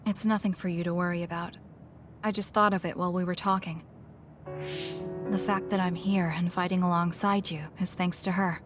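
A woman's voice: on the low side, flat delivery, moderate pace, close to an everyday voice. A woman voicing a blunt young soldier girl. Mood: quiet. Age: young adult. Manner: matter-of-fact, reserved, blunt. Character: cool, blunt young female psychic soldier. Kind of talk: video game dub acting. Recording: game audio with music behind.

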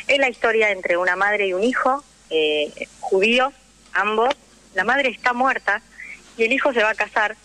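A woman's voice: medium pitch, bright, forceful tone, poor audio. A young woman with a Rioplatense Argentine accent, explaining things emphatically in a conversational radio interview, often checking in with her listener. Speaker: radio guest, actress and drama teacher.

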